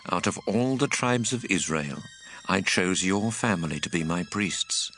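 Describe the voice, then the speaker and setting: An actor delivering the stern, authoritative words of God in an audio drama, solemn and measured. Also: male voice, lowish voice, fairly bright tone, slight background noise. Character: stern, authoritative God condemning through his prophet's message. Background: prominent music.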